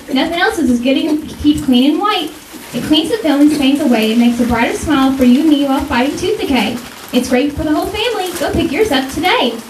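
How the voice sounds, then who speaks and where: A woman's voice: high-pitched, darkish tone. A woman playing an upbeat, persuasive saleswoman in a scripted vintage radio advertisement. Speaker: commercial announcer. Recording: roomy distant stage pickup, faint hiss.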